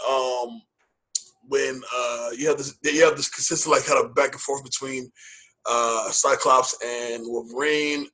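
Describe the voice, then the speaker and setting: A man's voice: mid-range voice, sharp tone, husky, rough recording. A man co-hosting a movie podcast in casual chat, loose and hype-style, repeating phrases and using slang. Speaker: podcast co-host.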